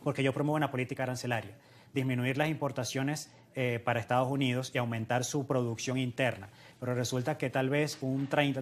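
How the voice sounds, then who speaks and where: A man speaking as an economist and interview guest, giving explanatory analysis and repeating phrases in lists for emphasis. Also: medium pitch.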